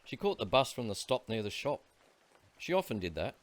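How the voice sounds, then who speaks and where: A man's voice: mid-range voice, sing-song, a little fast, close to an everyday voice. A man playing a chatty, matter-of-fact shop owner and witness in a radio play. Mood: chatty, certain.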